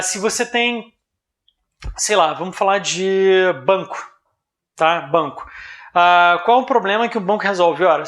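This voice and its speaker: A Brazilian man with a fairly high-pitched voice explains business ideas informally. He speaks in a didactic but conversational manner, like a presenter talking directly to the listener, and often adds small tags to check they are following. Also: fairly bright tone. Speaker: presenter of a business analysis video.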